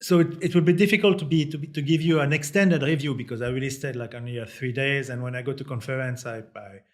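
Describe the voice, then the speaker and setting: A man's voice: medium pitch, steady tone, fast. Hesitant French-born man, podcast guest. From France. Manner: hesitant, many fillers and restarts. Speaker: podcast guest, mining company CEO. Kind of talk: casual podcast conversation.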